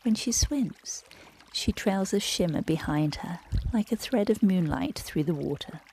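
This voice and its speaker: A woman guiding children through a relaxation and bedtime story in a gentle, soothing, breathy voice that often drops close to a whisper. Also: on the low side, animated, measured pace, a little hiss or hum, rough recording. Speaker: meditation guide and bedtime storyteller.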